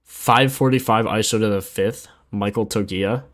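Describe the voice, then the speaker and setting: Young male sports betting streamer, lower-pitched, informal and slangy, off-the-cuff commentary. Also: normal speed. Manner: slangy, informal, thinking aloud. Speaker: sports betting livestream host. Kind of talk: casual livestream chat and commentary.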